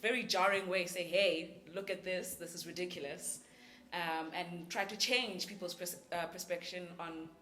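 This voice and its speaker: A woman's voice: lowish voice, steady tone, moderate pace, pushed and bright, reverberant. A woman on a discussion panel, talking casually and laughing often while she explains. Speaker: cast member in a panel discussion.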